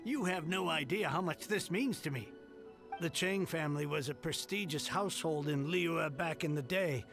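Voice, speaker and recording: An actor playing a grateful, weary middle-aged merchant heir in a game, earnest and grumbling. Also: male voice, on the high side, normal speed, creaky, over music.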